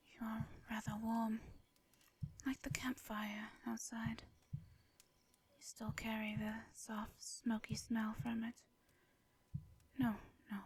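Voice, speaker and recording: A woman playing a shy, formal vampire in a roleplay audio drama, with an English accent, a soft breathy voice and hesitant, flustered phrasing. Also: on the high side, no echo, heavily acted. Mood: hushed, nervous.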